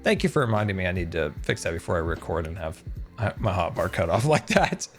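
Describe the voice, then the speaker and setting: A man chatting casually on a game live stream, excitable and talkative, thanking his viewers as he plays. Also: sits low, fairly quick, faint music behind.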